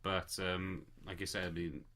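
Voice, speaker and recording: Brief: male podcast co-host, very low voice, bright tone, loose rambling chat. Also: flat delivery, measured pace. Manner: chatty, rambling with fillers. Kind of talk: casual chat.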